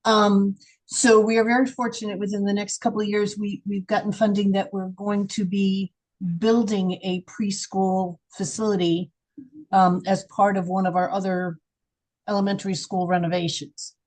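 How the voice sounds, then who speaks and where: A woman's voice: mid-range voice, level tone, normal speed, darkish tone, rough recording. An older woman speaking as a school board chair, giving an informal update on a video call from home. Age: older, grandmother.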